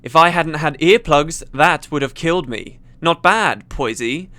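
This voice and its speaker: A man's voice: a little high, lively intonation, unhurried, bright, forceful tone, no echo. A man voicing a scornful, smug male fantasy creature rogue who mutters and snarls in a story reading.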